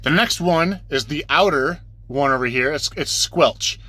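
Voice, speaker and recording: A man's voice: a little high, a little slow, bright, forceful tone, no room sound. A man giving a casual, off-the-cuff tutorial as an instructor, explaining things plainly step by step and repeating points for beginners.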